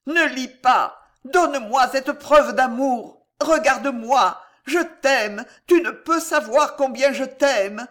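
A man's voice: sits high, flat delivery, moderate pace, few pauses, a bit bright. A man voicing a desperate young woman who pleads breathlessly and passionately for her lover in a dramatic audiobook. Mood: frantic, loving. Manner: breathless, imploring, fervent.

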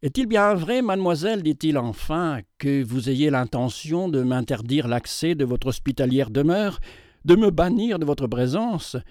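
A man's voice: on the high side, lively intonation, moderate pace, a little mellow, no echo, clean studio sound, natural voice. A man voicing an aging, gallant bachelor collector who pleads in an earnest, courtly, emphatic way.

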